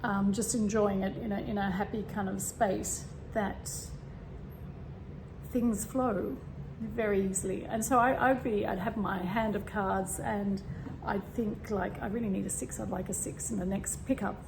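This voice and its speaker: A woman with a slightly croaky voice talking casually and meanderingly about spirituality, with many fillers and throat clearing. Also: mid-range voice, measured pace. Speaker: spiritual vlogger. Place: home room with a fan running. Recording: steady fan hum behind. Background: fan noise.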